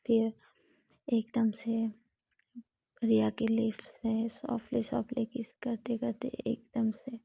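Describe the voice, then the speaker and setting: A woman narrating a romantic story in a steady, close whisper. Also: fairly high pitch, slow pace, creaky, pauses often, breathy edge, no room sound. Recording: dull lo-fi recording.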